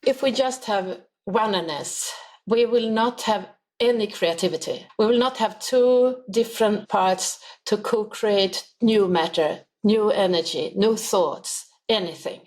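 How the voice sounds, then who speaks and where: A woman's voice: mid-range voice, measured pace, a little mellow. A woman being interviewed as a spiritual healer, explaining her ideas earnestly in simple, uneven English phrasing. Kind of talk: podcast interview answers.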